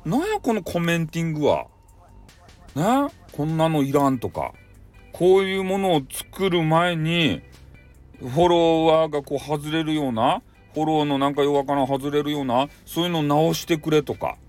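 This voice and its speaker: A man's voice: a little high, lively intonation, normal speed, darkish tone, faint music behind, voice pushed up, lightly acted. A man playing a hot-headed, bossy company boss with a Hakata accent, scolding bluntly in a comic radio drama. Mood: furious scolding. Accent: Hakata, Fukuoka.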